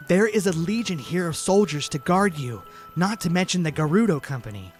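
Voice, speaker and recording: A man playing a protective young hero knight in an audio drama, measured, firm and serious. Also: a little high, expressive, faint music behind, close to an everyday voice. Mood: firm, worried.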